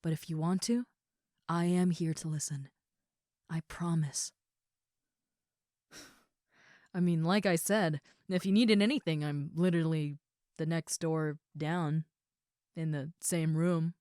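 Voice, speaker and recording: A woman playing a big, caring female ogre roommate in an intimate comfort roleplay, speaking in a soothing, earnest way with pauses and soft moments. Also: sits low, steady tone, no echo, clean studio sound. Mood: tender, sincere. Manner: soothing, earnest, hushed at times, with pauses and sighs. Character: gentle, caring seven-foot-six muscular female ogre roommate.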